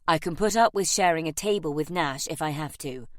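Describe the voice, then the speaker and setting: A woman voicing a proud, scowling young gentleman in an audiobook, with a gruff, curt, snappish manner. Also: low voice, brisk, no echo, studio quality, close to an everyday voice. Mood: curt, scornful. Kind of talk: audiobook character acting.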